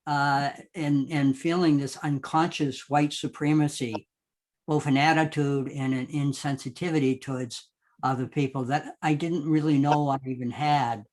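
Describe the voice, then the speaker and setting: A man's voice: on the high side, fairly even intonation, moderate pace, darkish tone. A man giving heartfelt, unscripted remarks as a roundtable panelist, effusive and often repeating himself as he goes.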